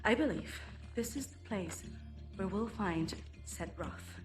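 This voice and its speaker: Precise scientist briefing, mid-pitched, music loud behind her. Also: expressive, takes it slowly. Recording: game cutscene with loud music.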